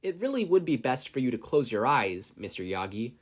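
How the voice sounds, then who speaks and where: A man's voice: medium pitch, sing-song, brisk. A man voicing a courteous, squeamish young boy, earnest in manner, in a read-aloud story. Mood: politely reassuring. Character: polite, squeamish young boy with odd powers.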